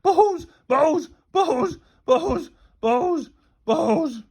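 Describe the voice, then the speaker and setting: A woman's voice: mid-range voice, expressive, moderate pace, gravelly, husky, pitched above the performer's own voice. A woman voicing a manic, thundering male schoolteacher in a storybook, spluttering and repeating in exasperation. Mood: dazed, frantic. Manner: bellowing, repetitive, spluttering.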